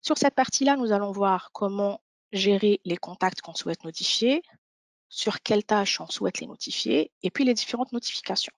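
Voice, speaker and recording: Female webinar presenter, mid-pitched with noticeable creak, methodical explanatory delivery, very dry close sound. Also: moderate pace, no echo. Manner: step-by-step explanatory. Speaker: software demo presenter. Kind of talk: instructional webinar walkthrough.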